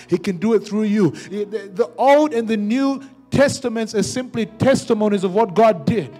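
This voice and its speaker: A man's voice: sits high, warm tone. A young man preaching a sermon on a church stage into a handheld microphone, punctuating his points with repeated amens.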